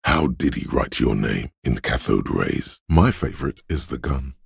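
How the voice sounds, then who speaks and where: An extremely low, rasping male voice serves as the solemn inner voice of a fighter's instinct in a video game. The delivery is measured and deliberate, with dramatic weight on each phrase. The recording is dry and close. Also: expressive, no room sound, heavily acted. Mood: dry, grave. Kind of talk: scripted game narration, inner voice.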